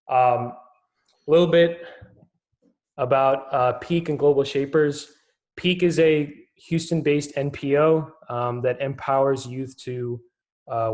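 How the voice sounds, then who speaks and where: A man's voice: mid-range voice, darkish tone. Casual male panel moderator. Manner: casual, heavy on fillers. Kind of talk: hosting an online panel.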